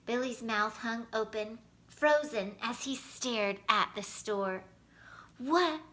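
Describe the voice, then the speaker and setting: A woman reading a children's storybook aloud in an animated, scripted storytelling style. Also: fairly high pitch, slow pace.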